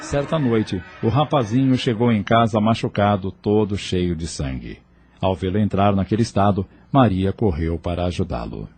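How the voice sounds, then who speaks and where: A man's voice: lowish voice, lively intonation, normal speed, a little mellow, a little hiss or hum. A man with a Brazilian accent reading scripted narration for an audio novel. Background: music.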